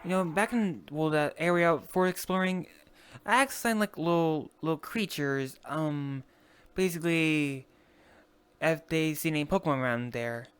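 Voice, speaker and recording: A roleplay player talking in character in an online game, halting and rambling as they explain things. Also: mid-range voice, slight background noise.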